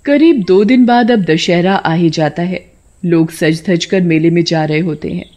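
A woman's voice: lowish voice, measured pace, mellow. A woman narrating a scripted story for a cartoon.

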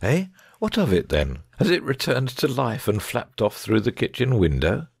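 A man's voice: medium pitch, wildly swooping pitch, moderate pace, creaky, breathy edge, no room sound, clean studio sound, heavily acted. A man playing a brilliant, self-assured detective in a dramatic audiobook, speaking in a precise, didactic way. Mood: surprised, wry.

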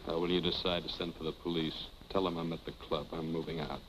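An actor playing a sardonic, embittered husband, dry and sarcastic, in a 1940s film. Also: male voice, sits low, little pitch movement, fast, natural voice. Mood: bitter, subdued. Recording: muffled, faintly noisy vintage film soundtrack.

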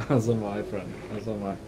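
A man's voice: on the low side, noisy background. A young man, casually bantering and swearing while streaming a co-op game. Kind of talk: casual co-op gameplay chat. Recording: game music behind voice.

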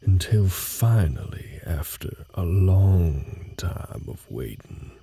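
A man playing a gruff, guarded cowboy ranch hand in an audio roleplay, talking in a slow, folksy, halting way with a husky, gravelly voice. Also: deep voice, big pitch swings, warm tone, no echo, exaggerated voice. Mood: hushed, patient. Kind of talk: intimate scripted audio roleplay, slow speech to the listener.

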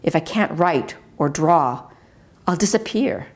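Low-voiced, creaky middle-aged American woman reading. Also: lively intonation, measured pace. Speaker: author reading from own memoir. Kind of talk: scripted reading aloud, literary memoir. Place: at a wooden table in a home dining room.

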